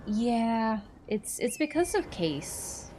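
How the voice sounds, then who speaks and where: A woman's voice: fairly high pitch, sing-song, slow, noisy background. A woman streamer chatting casually with her chat while she plays a game, laughing easily and answering questions. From Poland. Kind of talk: casual gaming livestream chat.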